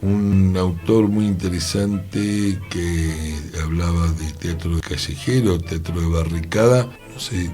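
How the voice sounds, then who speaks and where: A man's voice: sits low, slow, hardly any pauses, dark tone, faint music behind. A man with a Rioplatense Argentine accent discussing ideas conversationally, emphatic and given to rhetorical tag questions.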